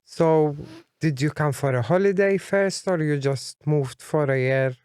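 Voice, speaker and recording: A man's voice: on the high side, measured pace, darkish tone, smooth, dry recording. A man interviewing a guest, asking long, rambling questions.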